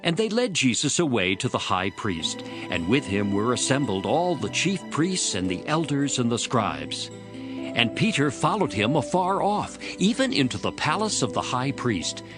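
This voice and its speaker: A man's voice: mid-range voice, big pitch swings, normal speed, over music. A man narrating dramatized scripture as a storyteller between the character parts.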